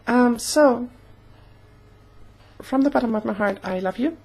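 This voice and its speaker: A young woman talking casually in a personal vlog, slightly hoarse, with an earnest, halting way of speaking. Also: a little high, darkish tone. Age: young adult. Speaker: vlogger.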